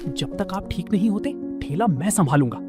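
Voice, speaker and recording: A man's voice: sits high, lively intonation, normal speed. A young man playing a dutiful, respectful son who helps at a food stall in a cartoon story. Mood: earnest. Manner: earnest, respectful. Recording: music under the voice.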